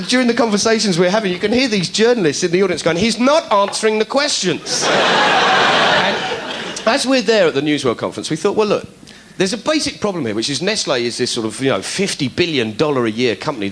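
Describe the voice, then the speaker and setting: A middle-aged comedian telling a story to a studio audience, animated and putting on voices for the people he quotes. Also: fairly high pitch, noisy background. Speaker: comedian host.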